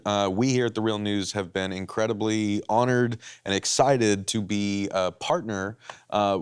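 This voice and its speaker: A man's voice: fairly low pitch, clear, resonant tone. A man moderating a live panel discussion in front of an audience, enthusiastic and a bit rambling. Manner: enthusiastic, rambling, hypes the crowd. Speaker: panel moderator and editor-in-chief. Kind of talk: live panel event hosting. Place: studio event space with audience.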